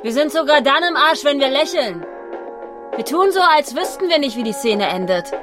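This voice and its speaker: A woman's voice: sits high, lively intonation, moderate pace. A woman acting in a literary radio drama, narrating descriptively as a fatalistic young lover. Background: music with instruments.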